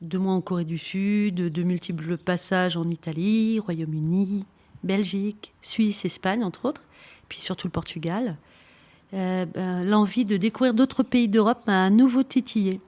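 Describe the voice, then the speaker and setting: A woman presents a bicycle radio project in a lively, scripted way, running through lists with a brisk rhythm. Her voice sits in a middle pitch range.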